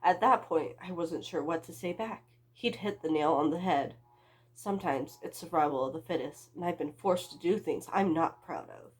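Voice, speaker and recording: A woman's voice: sits low, moderate pace, darkish tone, slightly rough. A young woman reading her own story aloud as a book vlogger, with a dramatic, self-mocking delivery and amused asides.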